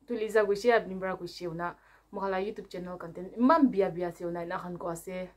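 A woman's voice: lowish voice, fast talker. A young adult woman vlogger in casual prank-call chat, recorded on a phone camera in a small room at home. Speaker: vlogger making prank calls.

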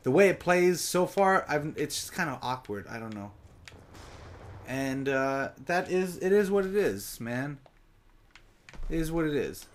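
A young man giving casual live commentary over his own gameplay, talking straight to his viewers, his voice a bit raspy from long sessions. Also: fairly high pitch, very animated, measured pace, long pauses. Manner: chatty, casual, addresses viewers directly.

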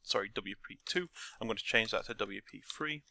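A man with a croaky voice talking through a game scripting tutorial step by step from his desk. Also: fairly low pitch, a little fast, no room sound, studio quality. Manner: relaxed step-by-step explaining. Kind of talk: screen-recorded tutorial narration.

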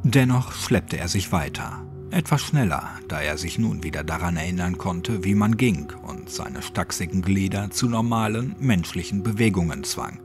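A man with a fairly deep voice narrates a scripted horror story in audiobook fashion. Music plays clearly behind his reading. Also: moderate pace, few pauses.

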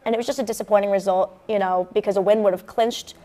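A young woman with an American accent talks sports as a co-host at a studio desk. She speaks quickly, at an ordinary middle pitch, in a conversational commentary style. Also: steady tone, warm tone. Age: young adult, early 20s. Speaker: student sports show co-host. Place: indoor campus TV studio at a desk. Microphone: lapel mic.

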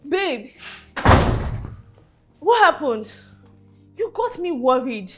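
A woman's voice: sits high, sing-song, drawn-out words, soft background music, heavily acted. An actress playing a devoted wife who pleads with and confronts her husband, often repeating herself. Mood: pleading.

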